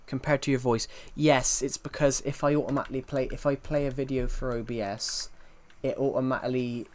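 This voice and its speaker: A man's voice: mid-range voice, fairly even intonation, brisk. Man with a thick, R-heavy accent. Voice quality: thick accent, strong R sounds. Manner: rambling, answers chat messages aloud. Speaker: live streamer. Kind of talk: casual live-stream chat. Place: at home at a PC.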